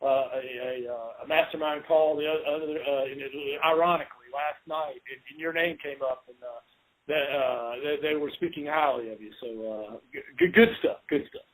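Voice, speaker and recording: A man's voice: fairly high pitch, fairly even intonation, normal speed, poor audio. A man in his forties hosting a podcast interview, speaking casually with frequent hesitations. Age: 40s. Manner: hesitant, many fillers and restarts. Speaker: podcast host.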